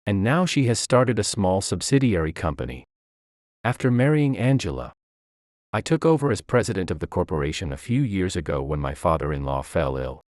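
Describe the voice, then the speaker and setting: A man's voice: low voice, sing-song, fairly quick, darkish tone, dry recording, clean studio sound. A man reading a scripted first-person story as its narrator.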